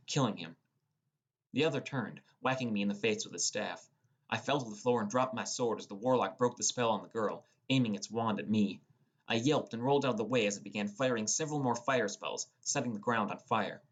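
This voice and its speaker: A young man reading scripted first-person fantasy narration as a dramatic storyteller. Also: on the low side. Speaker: audiobook narrator.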